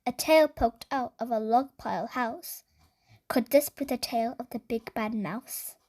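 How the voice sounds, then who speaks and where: Lady reading a bedtime story, high voice, slow and dramatic, music going behind her. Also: a little mellow. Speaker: storyteller for children. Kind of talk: expressive read-aloud bedtime story narration.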